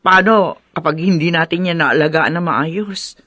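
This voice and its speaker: A woman with a Filipino accent acting an anxious, doubtful wife in a radio drama, emotional and expressive in manner. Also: lowish voice, moderate pace, dry recording, studio quality. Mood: worried. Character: anxious, doubtful wife unsure about adopting a foundling.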